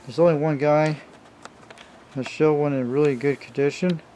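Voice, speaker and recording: A man's voice: fairly high pitch, steady tone, normal speed, dark tone, highs cut off. Man casually rambling over noisy home video. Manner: informal, rambling, addressing viewers as you guys. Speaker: hobbyist showing a vintage CB radio. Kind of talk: casual hands-on show-and-tell.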